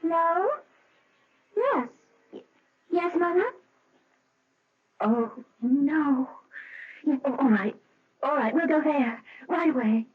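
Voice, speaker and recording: High-voiced, emotional wife, muffled recording. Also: female voice, expressive, slow pace, pauses often. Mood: shaken. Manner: hesitant, emotional, breaking phrases. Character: anxious, wistful wife, emotional and easily swept up. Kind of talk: radio mystery drama acting. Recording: muffled, dull old radio recording.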